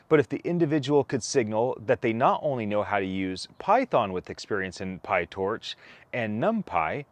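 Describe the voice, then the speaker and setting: Man reading aloud with lively, swinging intonation. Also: mid-range voice, no room sound. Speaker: co-author reading a whitepaper aloud. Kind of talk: read-aloud document narration.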